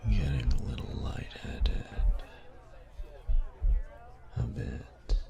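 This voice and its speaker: A man playing a cocky, taunting, domineering young bully in an intimate audio roleplay, speaking in a breathy, gravelly half-whisper with slow, teasing menace. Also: a bass voice, expressive, over music, exaggerated voice. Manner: slow, teasing, menacing delivery with drawn-out pauses.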